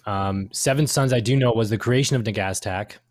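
A man co-hosting a podcast, discussing lore casually in a halting, hesitant way. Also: lowish voice, dry recording. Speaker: podcast co-host.

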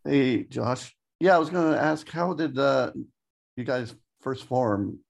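A man's voice: medium pitch, lively intonation, slow pace, vocal fry. A man co-hosting an interview in casual conversation. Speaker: co-host, author and publisher.

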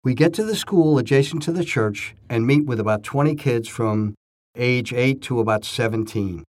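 A man's voice: medium pitch, moderate pace. A man narrating a scripted inspirational story from his own memories.

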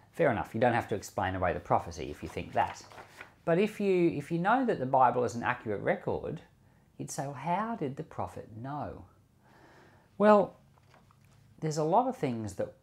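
A man's voice: mid-range voice, a little mellow. A man speaking as a pastor on a Bible podcast, explaining scripture in a conversational way with rhetorical questions. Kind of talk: Bible teaching podcast with commentary and prayer.

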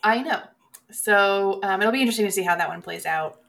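A woman speaks at a middling pitch with plenty of vocal fry. She co-hosts a podcast in a casual, conversational way. Her humour is dry and offhand. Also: brisk, crowd noise. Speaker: co-host of a horror movie podcast. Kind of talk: casual chat.

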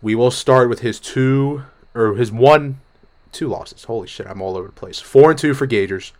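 A man hosting a casual sports betting podcast, hoarse from a cold, talking with energy and swearing freely. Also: medium pitch, normal speed. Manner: energetic, profane, jumps between topics. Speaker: podcast host.

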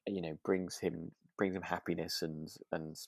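A man's voice: deep voice, little pitch movement, normal speed, vocal fry, a little mellow, no echo. A man with a British accent chatting casually as a podcast co-host, agreeable and a little rambling. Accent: British English. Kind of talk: casual chat about a radio drama.

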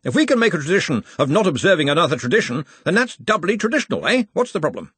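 Guy doing a loud stubborn old wizard boss, husky, swings his pitch all over, dry close mic. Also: sing-song, fast, dry recording, exaggerated voice. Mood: blustering. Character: bluff, bossy old male wizard chancellor.

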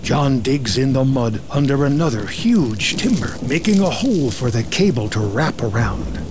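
A man's voice: mid-range voice, animated, moderate pace, noisy background. A man reading scripted screenplay narration as the narrator of a drama.

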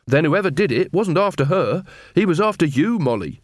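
A man voicing an earnest young city watchman who explains things calmly and matter-of-factly in a story. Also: a little high, moderate pace, no echo, studio quality, voice pushed up. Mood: earnest, reasoning.

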